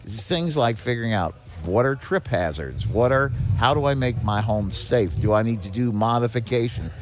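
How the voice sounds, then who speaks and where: Man explaining things in halting, repeated starts. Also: medium pitch, animated. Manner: explanatory, repeats and restarts words often. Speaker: guest, director of a seniors' charity. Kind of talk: conversational podcast interview.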